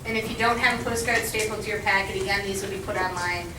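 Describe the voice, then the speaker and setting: A woman's voice: medium pitch, fairly even intonation, fast talker. Woman coordinator, casual announcements, heard from across an echoey, noisy room. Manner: friendly, informal, with frequent ums. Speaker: meeting coordinator. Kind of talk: announcements at a community meeting. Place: meeting room. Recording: roomy, distant, noisy room recording.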